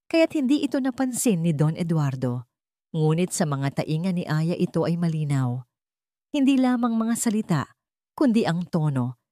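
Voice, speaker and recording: A woman's voice: on the low side, darkish tone, no echo, clean studio sound. A woman with a Filipino accent reading a scripted dramatic audio story as its storyteller.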